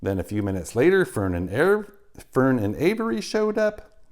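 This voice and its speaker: Expressive man reading a children's book aloud. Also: medium pitch, normal speed. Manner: expressive storytelling.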